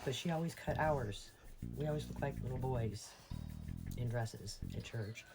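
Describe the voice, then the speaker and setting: A woman's voice: very deep voice, a little slow, music in the background, poor audio. A middle-aged American woman telling personal stories in a casual, rambling, reflective monologue. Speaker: personal storyteller.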